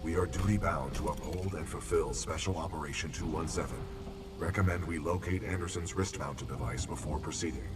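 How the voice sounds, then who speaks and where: A very deep-voiced giant robot speaks with formal, literal precision. The voice has a processed, machine edge and sits a little far off under loud music, as in a video game. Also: male voice, brisk. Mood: formal, calm. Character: loyal, formal giant male combat robot, unflappably literal.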